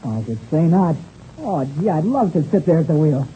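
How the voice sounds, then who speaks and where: A man's voice: a little high, very animated, fairly quick, slight background noise. An actor playing an eager, earnest young boy pilot in a 1930s radio adventure serial, on a muffled old recording. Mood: eager, anxious. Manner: boyish, earnest, slangy exclamations.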